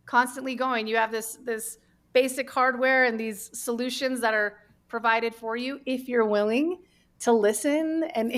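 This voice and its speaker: An American woman from New Mexico telling her personal story candidly in conversation, earnest and halting, repeating words for emphasis. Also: fairly high pitch. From New Mexico, United States.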